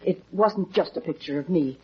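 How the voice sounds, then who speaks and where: An anxious, evasive theater actress in an old detective serial. She speaks hesitantly and pleadingly, with a slightly husky edge, on a dull, muffled vintage recording. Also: lowish voice, normal speed. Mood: flustered, defensive. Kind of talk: 1950s radio drama acting. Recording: muffled 1950s radio recording.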